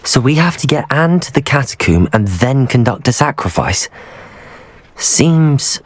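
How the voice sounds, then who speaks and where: A teenage student hero with a husky edge and very varied intonation. He sounds cautious and curious, speaking video game dialogue. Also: mid-range voice, very animated, unhurried, no room sound.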